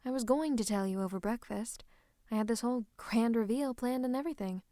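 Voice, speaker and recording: A woman playing a scheming royal advisor in a fantasy roleplay, speaking close to the listener in a coaxing, teasing, falsely comforting way with breathy asides. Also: fairly high pitch, fairly quick, dark tone, dry recording, natural voice. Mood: flustered, conspiratorial. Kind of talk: roleplay ASMR monologue to the listener. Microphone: close microphone.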